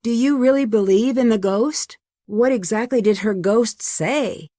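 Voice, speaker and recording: A young American woman voicing an earnest, curious and polite amateur detective in an adventure game, with clearly enunciated lines. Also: fairly high pitch, unhurried, dark tone, dry recording, studio quality, close to an everyday voice. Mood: probing. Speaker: voice actor for a game's detective heroine. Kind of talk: scripted adventure game dialogue.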